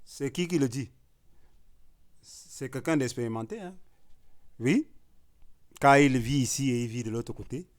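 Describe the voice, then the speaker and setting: A man's voice: mid-range voice, measured pace, pauses often. A man from Cameroon, an artist arguing hard in a panel discussion. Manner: emphatic, rising to forceful declarations.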